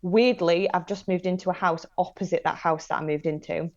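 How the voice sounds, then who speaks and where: A woman's voice: on the low side, steady tone, brisk, dull audio. A woman in her late twenties with a Northern English accent, chatting casually as a podcast guest in an enthusiastic, slangy, informal way. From northern England, Manchester and Blackpool area. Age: late 20s. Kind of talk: casual chat, personal anecdotes.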